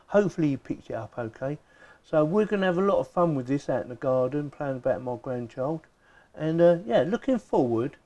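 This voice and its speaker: Mellow higher-pitched English man reviewing gadgets. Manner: chatty, informal, plain-spoken. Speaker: product reviewer. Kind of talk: casual hands-on product review.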